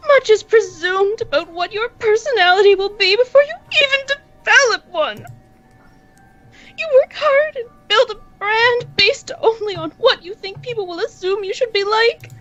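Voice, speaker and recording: A woman's voice: very high-pitched, a bit bright, dull audio, faint music behind. A woman playing a haughty, sinister and lonely alien noblewoman in a narrated story, speaking in a formal, theatrical, self-pitying manner. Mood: melancholy self-pity. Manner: slow, theatrical, formal speech. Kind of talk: dramatic character acting in narrated story.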